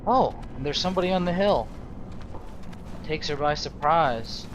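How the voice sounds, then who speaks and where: A man hosting a gaming video, improvising role-play narration in a halting, searching storytelling manner. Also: fairly high pitch, expressive. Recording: noisy game audio behind voice. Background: video game ambience.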